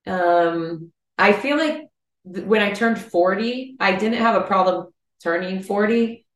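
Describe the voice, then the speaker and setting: A woman's voice: medium pitch, unhurried, a bit bright. A woman in her forties hosting a casual chat, laughing often and thinking aloud. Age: 40s. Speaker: podcast host. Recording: roomy, distant mic.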